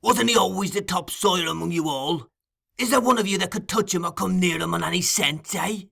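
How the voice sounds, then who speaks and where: Guy doing a sly old crook who runs a gang of boy thieves, high reedy wheedle, sharp bright tone, pushy and loud. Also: expressive, moderate pace, gravelly, exaggerated voice. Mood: angry. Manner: wheedling, fond of calling people my dear. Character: scheming old male thief-master, wheedling.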